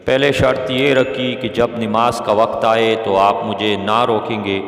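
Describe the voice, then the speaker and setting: Echoey male storyteller with a creaky voice. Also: mid-range voice. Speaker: narrator of Islamic moral stories. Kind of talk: scripted religious storytelling narration. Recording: echoey with long reverb.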